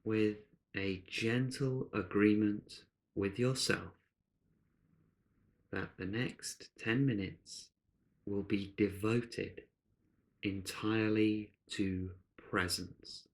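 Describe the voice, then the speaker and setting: A man with a fairly low voice guides a meditation. He speaks very slowly and gently, setting out short phrases with long pauses between them. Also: fairly even intonation.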